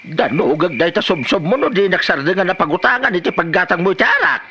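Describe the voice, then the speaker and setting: Gruff, scornful Ilocano father played by a man, comedy drama, high animated voice, noisy location recording. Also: quick pace, dull audio. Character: stern, grumbling father-in-law who refuses handouts.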